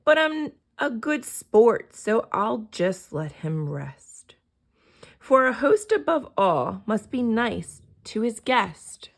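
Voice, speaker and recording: A woman's voice: a little high, very animated, slow pace, creaky, full character voice. A woman voicing a meek, patient, put-upon male moose in a children's storybook. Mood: resigned.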